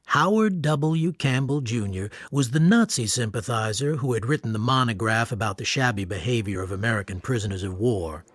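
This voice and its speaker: A wry male storyteller narrating a radio play. His medium-pitched delivery is matter-of-fact, recorded very dry with no room sound. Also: slightly rough, no echo. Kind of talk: scripted radio drama narration.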